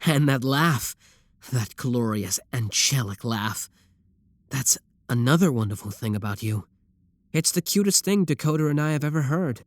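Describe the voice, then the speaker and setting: Guy doing a yandere brother for asmr, normal pitch, dry close sound, supportive but obsessed, says creepy stuff matter of factly. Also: animated, normal speed, no echo, lightly acted. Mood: adoring. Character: obsessive, adoring yandere sibling kidnapper, calmly supportive. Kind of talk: scripted ASMR roleplay addressed to the listener.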